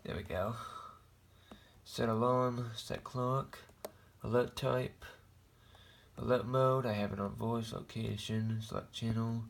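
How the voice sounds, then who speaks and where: An American man giving a casual show-and-tell in a halting, rambling, plain-spoken way. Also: mid-range voice, fairly even intonation, creaky. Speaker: hobbyist vlogger showing a radio collection.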